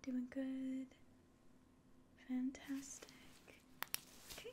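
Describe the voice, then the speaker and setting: A young woman whispers slowly and very softly, with a mellow, breathy tone. She speaks as a gentle doctor in an ASMR roleplay, hesitant and polite, close to the mic. A light layer of noise sits behind her. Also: high-pitched, completely flat delivery. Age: 20s. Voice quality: breathy whisper and very soft speech. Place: small indoor room at home.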